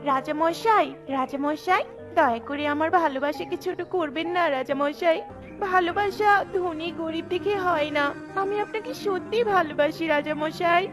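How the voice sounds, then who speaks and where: A woman's voice: very high-pitched, a little slow, a bit bright, faint music behind. A woman voicing a lovelorn young peasant woman in a cartoon, imploring earnestly. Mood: lovelorn, pleading. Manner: imploring, repeating herself. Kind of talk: dramatic cartoon voice acting.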